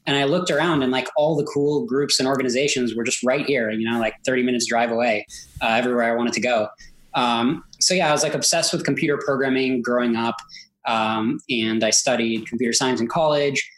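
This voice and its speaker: A man's voice: mid-range voice, fairly even intonation, fairly quick, a bit bright, rough recording. An American man in his thirties from Silicon Valley, chatting casually as an interview guest, peppering his talk with filler words. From Silicon Valley, United States, born in Israel. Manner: talkative, peppers speech with "like" and "you know". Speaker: interview guest, tech entrepreneur. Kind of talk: casual chat.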